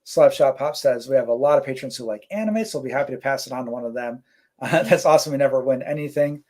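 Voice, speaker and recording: Male co-host, mid-pitched, easygoing, reads chat aloud, filler-heavy. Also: fast talker. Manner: relaxed, rambling, many fillers. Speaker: co-host reading live chat. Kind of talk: casual live-stream chat.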